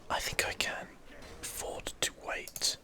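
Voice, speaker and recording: Gamer whispering asmr play-by-play, bright and breathy, casually thinking out loud. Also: unhurried. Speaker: video game streamer. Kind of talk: whispered ASMR game commentary.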